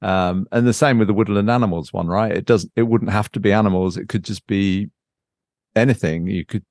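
Low-voiced man chatting in a dry room. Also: a little fast, darkish tone, no echo. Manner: informal, thinking aloud, searching for words. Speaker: podcast host. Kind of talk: casual unscripted chat about board games.

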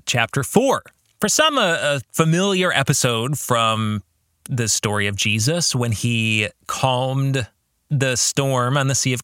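A man's voice: fairly high pitch, bright, forceful tone, no echo, studio quality. A man hosting a podcast, giving reflective commentary on his book and putting earnest questions straight to the listener. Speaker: podcast host and author discussing his book.

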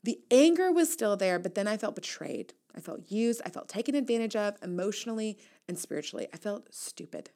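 A woman's voice: medium pitch, lively intonation, brisk, few pauses, warm tone. A woman in her thirties reading a first-person essay aloud as host, candid and reflective, with wry asides. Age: 30s. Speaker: newsletter writer and host reading an audio essay.

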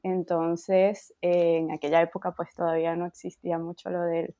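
A woman's voice: on the low side, level tone, moderate pace, no echo. A woman in her thirties from Venezuela with a Venezuelan accent, a guest chatting on a podcast over a video call, laughing lightly between thoughts. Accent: Venezuelan Spanish. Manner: warm, laughs lightly, many fillers. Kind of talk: casual interview chat. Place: home, in France.

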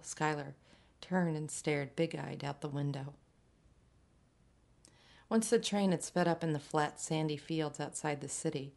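A woman reading a literary short story aloud in a measured, scripted narration. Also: deep voice, a little mellow. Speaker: story reader.

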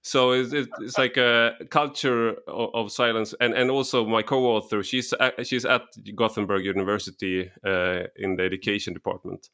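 A man from Sweden speaks as a guest in a relaxed conversation. His voice sits low, and he stumbles through restarts and fillers as he works out each point. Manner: halting, many fillers and restarts. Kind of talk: casual conversation.

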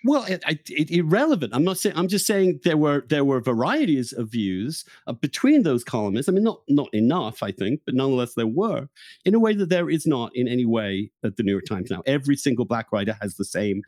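A middle-aged man hosting a podcast and arguing politics in a blunt, emphatic, conversational way, quick to interject. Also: mid-range voice, very animated, a little mellow, no room sound, studio quality. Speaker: podcast host. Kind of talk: heated, conversational political debate.

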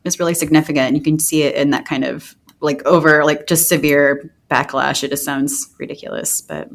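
A woman hosting a casual podcast, talking in an informal, halting way. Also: low voice, steady tone. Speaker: podcast host.

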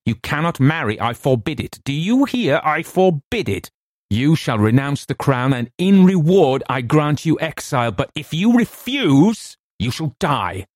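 A man's voice: sits low, a little slow, few pauses, darkish tone, no room sound, studio quality. A man voicing a deluded, raging young man who proclaims himself king, in a grandiose, imperious audiobook reading. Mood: angry, commanding. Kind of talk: dramatic audiobook character reading.

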